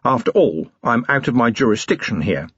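A man performs a middle-aged policeman husband in an audiobook. He is dutiful and understated, with a measured, husky delivery. The recording is dry and slightly dull. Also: medium pitch, very animated, fairly bright tone, no room sound. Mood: measured, mild. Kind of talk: audiobook character voice.

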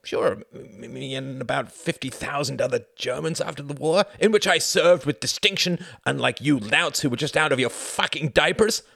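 A man's voice: fairly high pitch, a little fast, creaky, hardly any pauses, a bit bright, no room sound, clean studio sound, pitched above the performer's own voice. A man voicing an indignant, pleading German count in an audiobook, with spluttering, stammering protests. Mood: indignant, incredulous. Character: indignant, pleading German count, cowed aristocrat and war veteran. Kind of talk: audiobook character acting.

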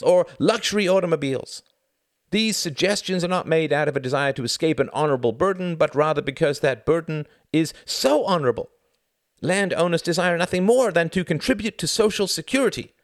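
A man playing a frantic bald politician, high strained pleading voice, loud, wide swings; paid desperation. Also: dry recording, clean studio sound. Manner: desperate, overwrought speechifying.

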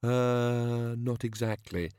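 A man voicing a faceless, genderless celestial bureaucrat in an audiobook, cautious, pedantic and officious, with deliberate delivery. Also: medium pitch, fairly even intonation, darkish tone, smooth, no echo, lightly acted. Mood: pedantic, bickering.

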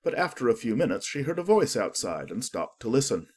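A man's voice: mid-range voice, sing-song, fairly quick, breathy edge. A man narrating a children's storybook as a scripted audiobook reading.

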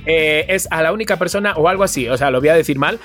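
A man's voice: a little high, fast, a bit bright, soft background music. A man with an accent from Spain chatting casually and effusively as a podcast co-host, speaking with emphasis. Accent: Spanish from Spain. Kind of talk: casual chat.

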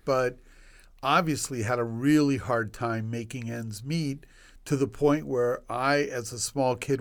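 A man in his sixties from Northern Virginia, a doctor telling his life story as a guest in a casual interview, rambling with many restarts and self-deprecating humor. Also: medium pitch. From Northern Virginia, United States. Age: 60s. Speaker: guest, obstetrician.

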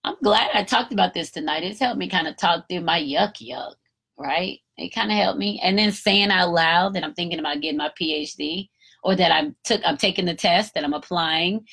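A woman's voice: fairly low pitch, moderate pace, vocal fry, fairly bright tone, husky. A woman in her fifties talking candidly on her solo podcast, encouraging listeners and cursing casually. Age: 50s. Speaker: podcast host. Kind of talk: reflective solo podcast talk.

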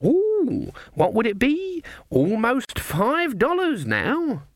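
A man voicing an old country witch who feigns naive eagerness in a fluttery, halting way, as an audiobook character. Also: high-pitched, wildly swooping pitch, fairly bright tone, no room sound, clean studio sound, pitched above the performer's own voice, exaggerated voice. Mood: flustered delight. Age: older.

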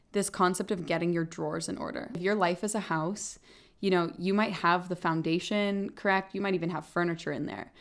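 A young woman co-hosting a casual podcast, talking things through in a reflective way and explaining with everyday comparisons. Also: lowish voice. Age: young adult. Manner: reflective, uses metaphors, backchannels "yeah". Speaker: podcast co-host. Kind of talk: casual chat.

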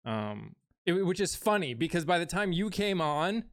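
A woman's voice: lowish voice, sing-song, moderate pace, no room sound, studio quality. A woman co-hosting a podcast in casual chat, effusive and rambling, with many restarts. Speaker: podcast co-host and streamer.

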